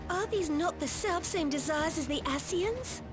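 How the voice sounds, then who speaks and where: An outspoken young heroine speaks in a high, very animated voice. She sounds alarmed, in dramatic game style, over clear music. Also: unhurried. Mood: wary, shocked. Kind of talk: dramatic video game cutscene dialogue.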